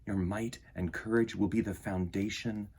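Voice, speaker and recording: A man hosting a children's storytime, reading aloud and talking to young listeners in an earnest, emphatic, deliberate way. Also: fairly low pitch, fairly even intonation, a little mellow, breathy edge. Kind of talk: expressive storybook read-aloud and talk to children.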